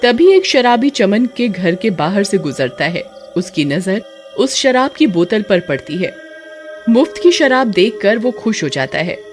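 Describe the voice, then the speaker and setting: A woman narrates a children's cartoon story from a script. Her pitch sits in the middle, and light music runs behind her. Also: very animated, a little slow.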